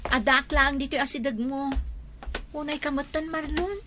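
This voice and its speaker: A woman's voice: high voice, steady tone, normal speed, soft background music. A woman with an Ilocano accent playing an exasperated wife in a radio drama, scolding her hard-drinking husband. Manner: scolding, sharp.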